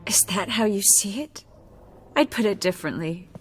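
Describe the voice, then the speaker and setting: An actress playing a resolute young sorceress in a video game, wry and weary, with a measured, breathy delivery. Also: female voice, medium pitch, expressive, soft background music, lightly acted. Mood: weary, subdued.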